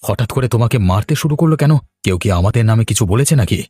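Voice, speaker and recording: A man's voice: on the low side, lively intonation, fast talker, darkish tone, husky, dry recording, clean studio sound. A man playing a scheming, threatening village villain in a horror cartoon, with theatrical delivery. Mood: alarmed. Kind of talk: Bengali horror cartoon dialogue.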